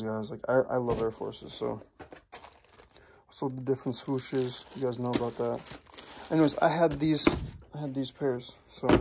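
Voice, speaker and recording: A man's voice: medium pitch, a little mellow, a little hiss or hum. A young man talking casually to his viewers, rambling with lots of fillers and restarts. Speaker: vlogger. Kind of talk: casual vlog chat.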